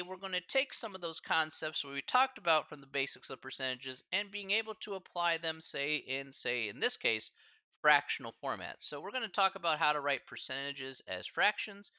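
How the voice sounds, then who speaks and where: A man lecturing a math class over a live stream, loose and chatty, full of "uh" and friendly slang. His voice is very bright and lively, swinging up and down in pitch. The sound is dry and a little dull, with no room around it. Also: no echo. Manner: casual, chatty, filler-heavy with slang asides. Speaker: math instructor.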